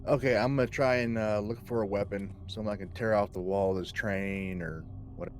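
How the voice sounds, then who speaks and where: A man's voice: fairly low pitch, fairly even intonation, fairly quick, soft background music. A man playing a tabletop roleplaying game, casually and somewhat hesitantly working out his moves aloud. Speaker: player.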